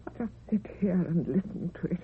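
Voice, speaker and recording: A woman's voice: on the low side, a little fast, close to an everyday voice. An actress playing a lonely, frightened, ageing woman in a theatrical, tremulous old-time radio horror style. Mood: anxious, brooding. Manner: theatrical, tremulous, talking to herself. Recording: dull vintage radio recording, faint hiss.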